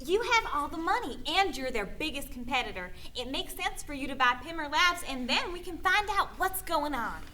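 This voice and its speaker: Woman acting a blunt police lieutenant, high-pitched, bright and forceful, insistent, radio play. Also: sing-song, normal speed, few pauses, a little hiss or hum, exaggerated voice. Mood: pushy.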